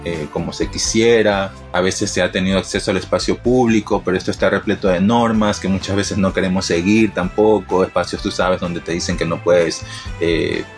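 A man's voice: deep voice, steady tone, normal speed, soft background music. A man from Ecuador with a coastal Ecuadorian Spanish accent, a DJ and producer giving an earnest, defiant recorded greeting. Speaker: DJ and music producer.

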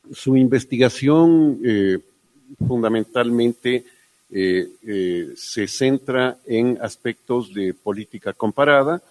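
A man formally introducing a keynote speaker at an academic conference, pausing often with fillers. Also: medium pitch, darkish tone, low-quality recording. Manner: hesitant, frequent fillers and restarts.

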